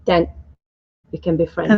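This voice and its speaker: A woman's voice: fairly low pitch, measured pace, creaky, slightly rough. A woman from Hungary with a Hungarian accent, a guest author chatting on a radio show and telling stories. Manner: animated storyteller, acts out quotes. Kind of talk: casual chat. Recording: dull lo-fi radio audio.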